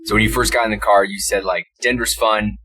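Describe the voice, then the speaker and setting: A man's voice: lowish voice, steady tone, a little fast, sharp tone, slightly rough, dry recording. A man hosting a casual interview from his rideshare car, curious and easygoing in his questions. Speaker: host and rideshare driver. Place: inside a car. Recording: music bed under the voice.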